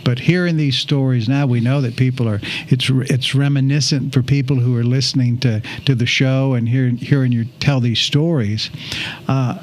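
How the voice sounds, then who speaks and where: A man's voice: mid-range voice, steady tone, moderate pace, a little hiss or hum. A man, hosting a casual conversation reflectively and circling back over his phrases. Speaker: interview host.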